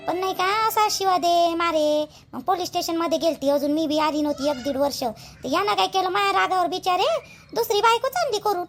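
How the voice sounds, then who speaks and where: A woman's voice: very high-pitched, a little fast, faint music behind. A woman playing an aggrieved, talkative village daughter-in-law in a cartoon, chatty and emphatic, with a Vidarbha Marathi accent. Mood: rueful. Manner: chatty, complaining, emphatic with repeated phrases. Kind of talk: colloquial cartoon gossip dialogue.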